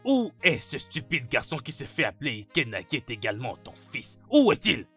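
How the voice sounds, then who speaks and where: A man's voice: mid-range voice, expressive, fairly quick, sharp tone, slightly rough, soft background music. An actor playing a stern, menacing middle-aged father, domineering and confrontational, in a dubbed melodrama. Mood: furious, threatening.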